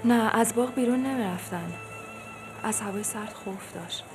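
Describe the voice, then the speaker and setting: A woman's voice: a little high, over music, close to an everyday voice. An actress playing a deferential woman of an aristocratic household in a period television drama, with formal old-fashioned diction.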